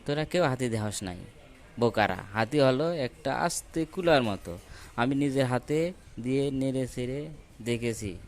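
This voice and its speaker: A man playing bossy, know-it-all village men in a children's cartoon fable, loud and emphatic as he explains. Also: mid-range voice, moderate pace, lightly acted. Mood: scornful. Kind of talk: children's cartoon story acting. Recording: loud music behind the voice.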